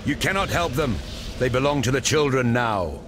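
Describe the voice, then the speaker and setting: A man's voice: medium pitch, animated, moderate pace, noisy background, natural voice. A man playing a grave, mystical knight guide in a game cutscene, speaking formally and portentously. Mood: grave urgency. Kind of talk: video game cutscene acting.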